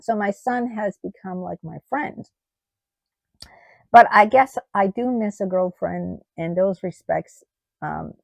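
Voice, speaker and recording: A middle-aged woman from the United States talking in a personal vlog, halting with many ums and restarts, her voice tired and starting to go. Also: medium pitch, gravelly, darkish tone, dry recording. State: losing her voice. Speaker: vlogger.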